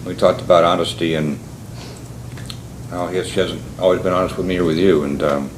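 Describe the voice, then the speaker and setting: Very deep-voiced male defense attorney. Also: fairly even intonation, a little fast. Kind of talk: informal address to the court. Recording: noisy courtroom recording.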